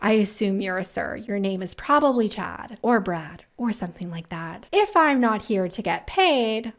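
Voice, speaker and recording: A woman's voice: mid-range voice, lively intonation, normal speed. A woman hosting a career advice video, talking casually and emphatically and posing rhetorical questions as she gives her commentary. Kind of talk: casual commentary reacting to viewer-submitted quotes.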